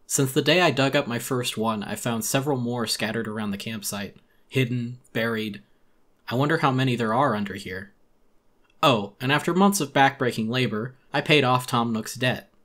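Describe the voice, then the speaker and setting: A man's voice: mid-range voice, moderate pace, fairly bright tone. A man giving a dramatic first-person audiobook narration of a horror story in a weary, brooding manner.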